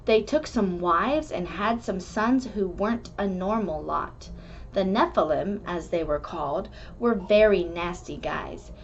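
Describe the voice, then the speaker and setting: A woman reading a rhyming children's book aloud in an expressive, measured storytelling manner. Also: on the low side. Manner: animated, measured storytelling delivery. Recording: dull lo-fi recording with background noise.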